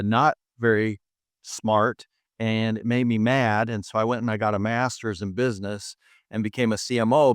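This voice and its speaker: A man's voice: mid-range voice, clear, resonant tone, no echo, studio quality. A middle-aged man from Montana with an American accent, telling his own story earnestly as an interview guest. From Montana, United States. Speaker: interview guest, hospital chief medical officer. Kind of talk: reflective personal storytelling in an interview.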